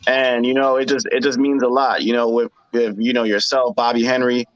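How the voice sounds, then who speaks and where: Flat-voiced man thanking the panel. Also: medium pitch, brisk, no echo, lo-fi sound. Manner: grateful, repeating "you know". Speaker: guest, artist. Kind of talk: panel discussion.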